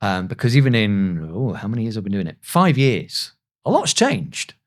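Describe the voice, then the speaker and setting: A man's voice: lowish voice, big pitch swings, moderate pace. Man, thirties, casual interview guest, chatty, self-deprecating storyteller. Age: 30s. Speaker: guest, voice actor.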